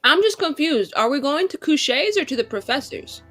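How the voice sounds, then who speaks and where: A woman's voice: sits high, sing-song, moderate pace, a bit bright, dry recording, faint music behind. A woman chatting casually out of character as a player in a tabletop roleplaying game.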